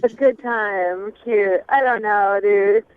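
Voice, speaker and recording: A woman's voice: medium pitch, slow, clean tone. A young adult woman chatting casually as a phone-in radio guest, sassy, candid and wry. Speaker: phone-in guest on a dating segment. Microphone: telephone. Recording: thin phone line.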